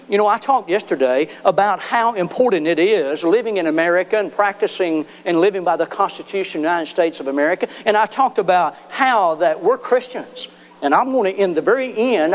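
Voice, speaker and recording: High-voiced preaching man in echoing hall. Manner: emphatic preaching, voice climbing sharply on key words. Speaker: pastor. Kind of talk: sermon. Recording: long reverb of a large hall.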